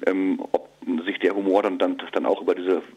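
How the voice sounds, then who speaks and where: A comedian being interviewed, answering casually with many fillers and restarts. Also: male voice, low voice, little pitch movement, normal speed, a little mellow, breathy edge, dull audio. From northern Germany. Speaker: comedian and musician. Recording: radio broadcast.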